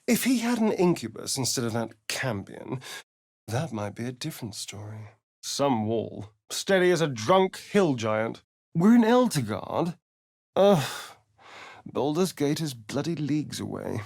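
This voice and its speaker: An actor playing a sly, vain vampire rogue in a video game, with an arch, sardonic, flamboyant delivery. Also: male voice, medium pitch, big pitch swings, measured pace, gravelly, a little mellow, husky, no room sound, clean studio sound, heavily acted. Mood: wry, brooding. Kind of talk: video game voice acting, dramatic lines and narration.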